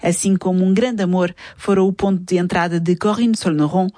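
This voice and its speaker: A woman's voice: on the low side, no echo, studio quality. Woman reporter reading a radio feature. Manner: even, measured reading. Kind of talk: scripted news narration.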